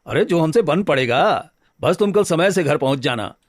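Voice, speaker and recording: A man's voice: a little high, expressive, normal speed, no room sound, studio quality. A man playing a good-natured married village woodcutter in a cartoon story, earnest and homely in manner. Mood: friendly. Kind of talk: Hindi cartoon story dialogue.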